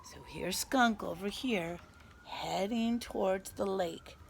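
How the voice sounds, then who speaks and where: A woman teacher reading a picture book aloud to young children, animated, pausing to explain things simply. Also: medium pitch, creaky, a little hiss or hum. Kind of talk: expressive picture-book read-aloud with asides.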